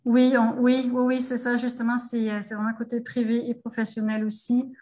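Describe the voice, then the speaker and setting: A woman's voice: fairly high pitch, completely flat delivery, a little fast, dark tone. A middle-aged woman from Denmark, speaking French as a guest in a casual remote interview, hesitant and full of fillers and restarts. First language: Danish.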